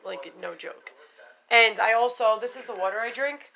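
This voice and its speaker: Bright-voiced, frank, chatty woman vlogging. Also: a little high, normal speed, gravelly, slight background noise, low-quality recording. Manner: frank and chatty, swears casually, many fillers. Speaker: vlogger sharing denture experiences. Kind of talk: casual unscripted vlog talk.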